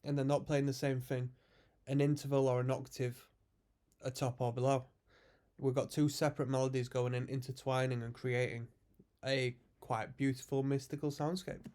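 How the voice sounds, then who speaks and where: A man's voice: mid-range voice, level tone, moderate pace, darkish tone. Congested man reacting to music. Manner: chatty, musing, explains music terms. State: congested from a cold. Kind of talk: casual reaction commentary.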